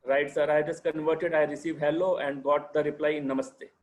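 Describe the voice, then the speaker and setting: A man's voice: on the high side, little pitch movement, fairly quick, rough recording. A man with an Indian English accent giving a guided demonstration of a web platform, speaking deferentially to the host. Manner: deferential, calls the host sir.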